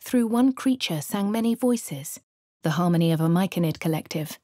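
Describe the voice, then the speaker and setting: A woman voicing a posh young female adventurer with an upper-class English accent, delivering crisp game lines and narration. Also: lowish voice, animated, normal speed, no echo, natural voice. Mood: measured. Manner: crisp refined delivery, shouts spell incantations. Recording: dry studio voice booth.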